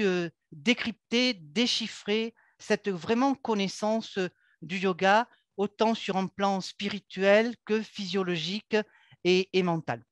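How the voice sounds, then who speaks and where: A woman who teaches yoga, talking earnestly and haltingly about her practice, with many filler sounds and restarts. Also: mid-range voice, dry recording. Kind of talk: unscripted personal account.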